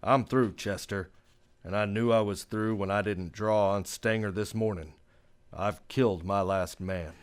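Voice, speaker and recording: An actor playing a weary, resolute Old West marshal in a radio western. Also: male voice, lowish voice, steady tone, measured pace, smooth, natural voice. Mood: resolute, bitter. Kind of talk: radio western acting and first-person narration.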